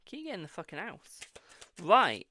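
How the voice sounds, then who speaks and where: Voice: mid-range voice, huge pitch swings, moderate pace, bright, forceful tone. A tarot reader from England with a posh-sounding English accent, hosting a live stream, chatting casually, swearing freely and calling viewers "my darling". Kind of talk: live-stream card reading and casual chat.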